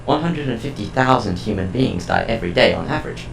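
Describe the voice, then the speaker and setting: Man voicing a creaky, low artificial intelligence. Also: huge pitch swings, echoey room, slight background noise, heavily acted, rough recording. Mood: detached, formal. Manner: formal, precise, measured. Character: calm, formal, curious artificial intelligence. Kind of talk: audio drama acting.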